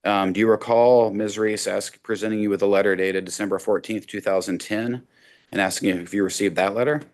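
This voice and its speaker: A man working as an attorney, cross-examining a witness over a video call with measured, methodical questions. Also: on the low side, fairly even intonation.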